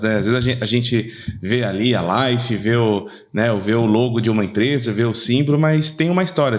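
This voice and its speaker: A man hosting a podcast, friendly and casual, tagging sentences with "né?". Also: medium pitch. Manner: friendly, leans on tag "né?". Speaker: podcast host.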